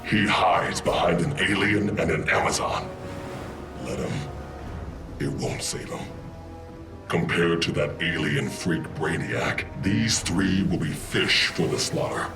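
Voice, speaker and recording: A man's voice: sits low, slow, music in the background. A man playing a bitter, proud, menacing supervillain out for revenge in a video game monologue. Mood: scornful, menacing.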